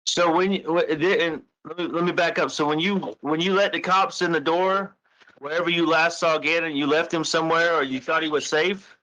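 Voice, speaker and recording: A man with a fairly high, bright and forceful voice on a recorded phone call. He questions the other person haltingly, repeating and rephrasing as he coaxes out answers. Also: fairly even intonation. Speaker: caller questioning the other speaker. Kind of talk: tense private phone conversation, questioning.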